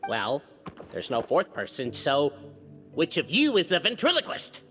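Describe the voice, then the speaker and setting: A man voicing a brash, excitable, flippant clan historian creature in a video game, with a showy manner. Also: fairly high pitch, measured pace, long reverb tail, slight background noise, exaggerated voice. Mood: breezy, flippant. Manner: showy, exuberant, swooping. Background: background music.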